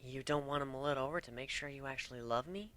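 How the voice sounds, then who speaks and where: Man voicing a bright, smug changeling wife. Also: medium pitch, quick pace, natural voice. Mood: teasing. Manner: sly, matter-of-fact.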